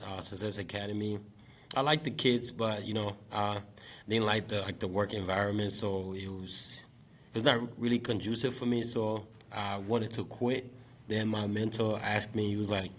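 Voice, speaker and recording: A man in his late twenties from Liberia, speaking as an interview guest. He talks about teaching in a relaxed, reflective way, with many fillers. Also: lowish voice, a little hiss or hum. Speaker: guest, special education math teacher. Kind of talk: casual interview talk.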